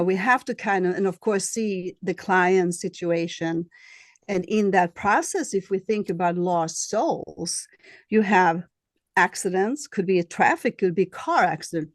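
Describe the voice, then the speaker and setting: Woman, Swedish accent, interview guest, halting, searching for words, lowish voice, slow. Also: no room sound. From Sweden. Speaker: guest, shamanic healer and astrologer. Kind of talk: casual interview.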